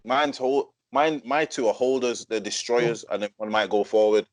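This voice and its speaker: A man co-hosting a casual football debate, speaking in a deliberate, insistent way. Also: medium pitch, dry recording. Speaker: podcast co-host.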